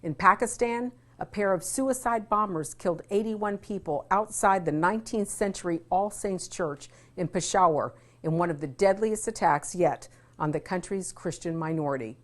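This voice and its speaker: A woman host reading a scripted introduction in a measured, serious news style. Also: on the low side. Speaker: program host. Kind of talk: scripted narration.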